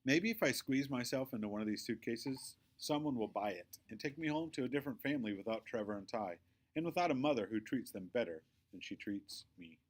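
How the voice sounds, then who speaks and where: A man's voice: mid-range voice, lively intonation, a little fast, natural voice. Sullen young boy, read by a man. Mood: hurt, sulky. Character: sullen young boy narrating his own hurts, defiant. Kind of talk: children's novel read aloud, first person.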